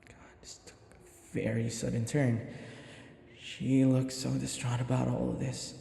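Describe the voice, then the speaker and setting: A nervous, devoted young manservant played by a man in an intimate audio roleplay. His voice is mellow and gravelly, with a soft breathiness. He speaks slowly and hesitantly, stammering with deference as a submissive human servant to a vampire mistress. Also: mid-range voice, flat delivery, full character voice. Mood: shy, flustered.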